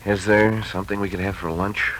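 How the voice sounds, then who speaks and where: A man's voice: fairly low pitch, flat delivery, fairly quick, fairly bright tone, natural voice. An actor playing an earnest, folksy young husband and father in a 1940s radio drama. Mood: weary. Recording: slightly noisy 1940s radio recording.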